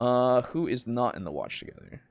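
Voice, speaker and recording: Guy hosting a chatty podcast, average pitch, dry sound, informal and a bit sweary. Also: lively intonation, brisk, vocal fry, no echo. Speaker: podcast host. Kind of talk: casual group discussion.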